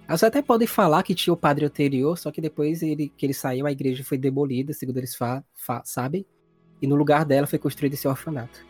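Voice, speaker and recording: A Brazilian man runs a tabletop role-playing game as game master. His voice sits a little high, and he explains scenes informally over faint background music. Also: fast talker, a little mellow, slightly rough.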